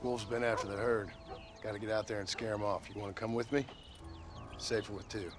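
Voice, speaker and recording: An actor playing a gruff, protective American frontier father in a video game, with a dry, folksy western drawl. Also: lowish voice, fairly even intonation, a little fast, music in the background, lightly acted. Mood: gentle, firm. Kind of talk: cinematic video game acting.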